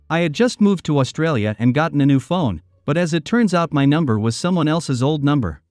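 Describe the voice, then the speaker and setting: A man narrating reddit stories in a steady, scripted storytelling read. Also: on the high side, no room sound. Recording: dry close sound with soft music behind.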